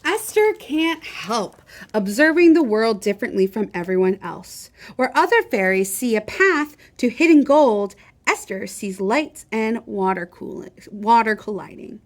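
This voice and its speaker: Female children's storytime reader: high-pitched, unhurried, expressive, addressing kids directly. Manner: animated, expressive, talks directly to young listeners. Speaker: library storytime host and reader. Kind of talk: children's picture-book read-aloud with chatty asides to listeners.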